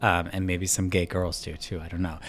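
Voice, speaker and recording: A man co-hosting a casual group chat, talking in an animated, filler-heavy way. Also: sits low, fairly quick. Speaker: podcast co-host.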